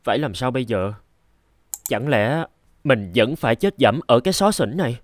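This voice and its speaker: A man playing a resentful, ambitious teenage son from a poor home in an animated fairy tale, with an accusing, impatient manner. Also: mid-range voice, moderate pace, no room sound, lightly acted. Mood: musing.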